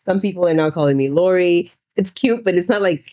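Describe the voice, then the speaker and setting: A woman's voice: lowish voice, a little fast, warm tone. A young woman from Southern Africa with an American twang, chatting informally as a podcast co-host. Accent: American-tinged. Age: young adult. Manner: informal, frequent "like". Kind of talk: casual chat.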